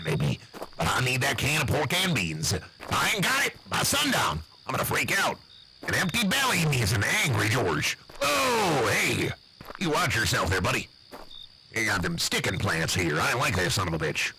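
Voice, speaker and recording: A man's voice: mid-range voice, noisy background. A man voicing a blustery, nervous backwoods uncle with an American accent, rambling and swearing in an improvised comic monologue. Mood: uneasy, grumbling. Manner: rambling, folksy, profane, excitable.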